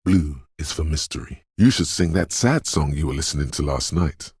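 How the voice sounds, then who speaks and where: A man voicing a brooding, dreamlike inner voice of intuition in a video game, speaking in a slow, hushed, portentous and theatrical way. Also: lively intonation, no room sound, clean studio sound, heavily acted. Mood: earnest, melancholy. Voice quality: very deep, husky, gravelly whisper-edged rumble. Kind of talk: dramatic video game voice acting. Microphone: close, dry studio microphone.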